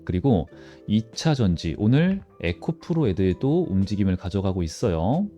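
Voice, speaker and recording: A man's voice: on the low side, very animated, moderate pace, mellow, highs cut off, faint music behind. A man hosting a stock analysis channel, explaining informally to viewers and posing questions that he then answers. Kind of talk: informal market commentary to viewers.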